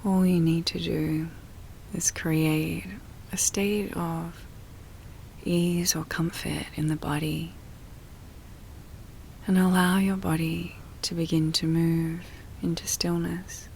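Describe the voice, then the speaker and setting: Very slow, soft-spoken woman guiding meditation. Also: on the low side, flat delivery, a little hiss or hum, low-quality recording. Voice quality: soft, breathy, often near-whisper. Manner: slow, soothing, with long pauses. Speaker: yoga nidra meditation guide. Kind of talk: guided relaxation meditation.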